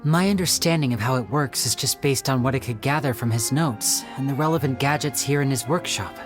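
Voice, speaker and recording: A woman playing a poised, self-assured young detective in a video game, explaining things in a measured, theatrical way. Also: rumbling low, over music. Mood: measured, grave.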